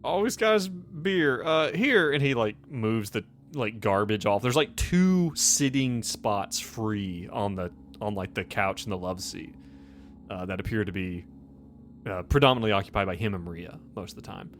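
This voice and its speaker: A man's voice: fairly low pitch, lively intonation, moderate pace, fairly bright tone, slight background noise. A man casually improvising narration as game master of a tabletop roleplaying game.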